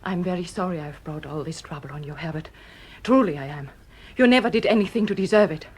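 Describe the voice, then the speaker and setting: An actress playing a troubled but composed wife under suspicion in an audio drama, with a husky voice. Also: female voice, on the low side, quick pace. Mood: resigned.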